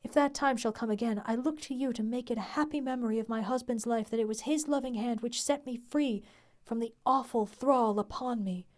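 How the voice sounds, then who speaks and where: A woman's voice: a little high, a little fast, voice pushed up, lightly acted. A woman doing a solemn, doomed young wife. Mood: solemn pleading. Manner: earnest, softly solemn.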